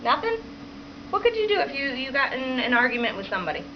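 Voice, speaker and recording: A woman primary school teacher reading a picture book aloud to children and pausing to prompt them with questions. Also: high-pitched, very animated. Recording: dull lo-fi sound with clear background noise.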